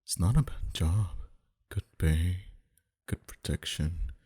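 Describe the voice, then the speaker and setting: A man playing a smug, flirtatious and menacing mafia boss in an intimate ASMR roleplay, dominant and teasing, sometimes dropping to a breathy whisper. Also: takes it slowly, full character voice. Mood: flirtatious, coaxing. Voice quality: very deep, dark, husky.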